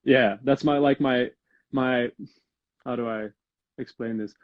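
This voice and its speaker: A man's voice: medium pitch, steady tone, takes it slowly, no room sound, phone-quality sound. A young man from Belgium hosting a podcast interview over a video call, hesitant, filling with um and like. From Belgium, Antwerp. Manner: hesitant, fills with "um" and "like". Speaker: podcast host. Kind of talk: casual interview.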